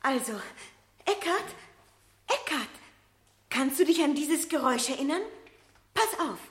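High-voiced, pleading, flustered mother. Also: lively intonation, unhurried, echoey room. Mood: desperate pleading. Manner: agitated, imploring, repetitive. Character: flustered, desperately pleading mother claiming her lost son. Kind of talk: German radio play acting.

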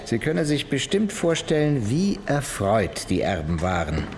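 An actor playing a talkative, cynical musical producer in a radio crime drama, telling things expansively. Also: male voice, mid-range voice, wildly swooping pitch, unhurried, darkish tone. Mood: storytelling.

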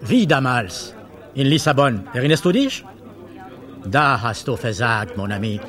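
Imperious old French marquis. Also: male voice, medium pitch, sing-song, measured pace, a bit bright, some chatter behind. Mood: accusing. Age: older. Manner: commanding, cryptic, sprinkles in French. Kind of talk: radio drama acting.

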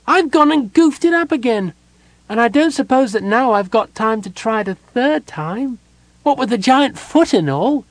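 An excitable little kender wanderer from a fantasy storybook, pitched way up high. The delivery is naive, cheerful and eager, bouncing all over in pitch. It chatters along in a scatterbrained, easily distracted way, close and dry. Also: male voice, moderate pace, no echo. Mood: dismayed, flustered. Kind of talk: audiobook character voice.

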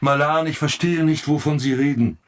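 A man's voice: on the high side, moderate pace, close to an everyday voice. An actor playing a dryly exasperated male police commissioner with curt, clipped replies in a German radio play. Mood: brisk, commanding.

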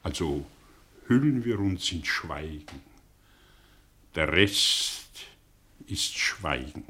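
An actor playing an older, sardonic, brilliant fallen intellectual in a German radio drama, speaking theatrically with rhetorical pauses and swells. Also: male voice, deep voice, animated, bright, forceful tone, husky, full character voice. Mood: resigned, grandiose. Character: aging, theatrical, sardonic man, brilliant fallen intellectual confessing his past.

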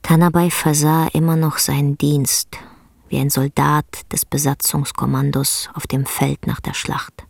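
A woman narrating a literary radio drama as a sober, measured storyteller. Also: low voice, flat delivery, vocal fry, a little mellow, dry recording, clean studio sound, exaggerated voice.

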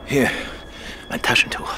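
An actor playing a composed, sharp-witted gentleman detective in a radio play. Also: unhurried, music in the background, natural voice. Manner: composed, quietly assured. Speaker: amateur detective, lead. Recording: noisy radio play recording.

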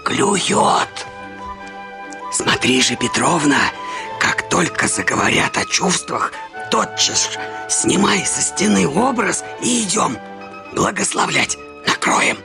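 A scheming old father in a radio play, high-voiced and excitable. He is bossy and melodramatic, his pitch leaping about over a gravelly creak. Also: slow pace, over music, heavily acted. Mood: conspiratorial, urgent. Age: older. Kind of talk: radio play comedy acting.

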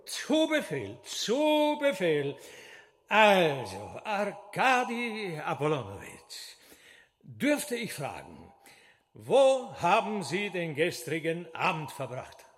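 A sly, high-pitched showman trickster, slow and swooping, oozing false politeness. The radio-play voice rings out through a long hall reverb. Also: huge pitch swings, full character voice. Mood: oily, mock-servile. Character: impudent mocking male stage conjurer's henchman, mock-servile trickster. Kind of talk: radio drama acting. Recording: long echo of a theatre hall.

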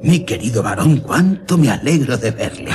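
A man's voice: mid-range voice, very animated, normal speed, close to an everyday voice. A man playing an urgent, jubilant old general in a radio drama. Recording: noisy ambient radio drama scene.

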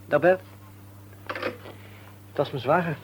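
An actor playing a polite, hesitant young suitor in an old Dutch radio play. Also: male voice, fairly high pitch, slow pace, a little hiss or hum. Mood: guarded. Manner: hesitant, stammering. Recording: dull, lo-fi old radio recording.

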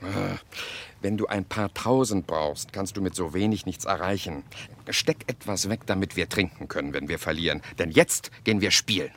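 An actor playing a cynical, worldly Parisian journalist and gambler in a radio play, with a mocking manner. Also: male voice, sits low, moderate pace, fairly bright tone. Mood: scheming, brisk. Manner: mocking, sardonic. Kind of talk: German radio play acting. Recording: noisy radio drama recording.

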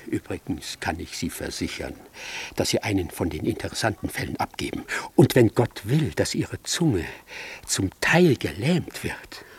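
An actor playing a cold, pedantic, gloating military doctor in a German radio drama, with a clipped clinical manner and a husky voice. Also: male voice, fairly low pitch, big pitch swings, a little slow, darkish tone, exaggerated voice. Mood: coldly gloating.

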